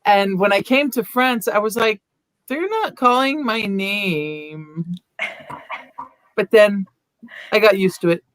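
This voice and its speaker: Co-host, woman; middle pitch, dry close sound, playful storyteller. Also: takes it slowly, pauses often, no echo, low-quality recording. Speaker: podcast co-host. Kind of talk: casual interview chat.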